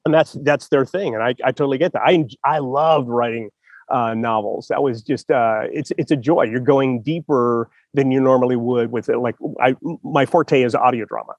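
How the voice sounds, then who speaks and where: A man's voice: medium pitch, moderate pace, no echo, dull audio. A man hosting a casual chat about writing, talking loosely with frequent laughs and restarts. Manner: chatty, laughs often, restarts sentences. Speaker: podcast host.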